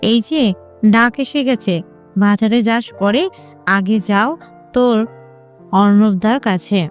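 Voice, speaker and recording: A woman's voice: medium pitch, slow pace, clear, resonant tone, soft background music, natural voice. A woman playing a teasing, knowing middle-aged mother in an audio romance drama, with a playful manner.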